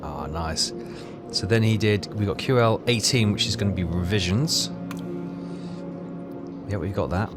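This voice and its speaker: A man's voice: low-pitched, unhurried, pauses often, loud music behind the voice. A man hosting a game playthrough, thinking aloud in casual commentary.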